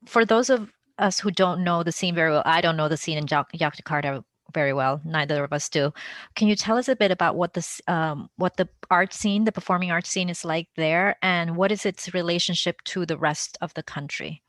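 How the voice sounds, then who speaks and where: A woman's voice: on the low side, fairly quick, a bit bright, no room sound. A woman hosting an interview, asking questions in a casual, conversational way. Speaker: interviewer, podcast host.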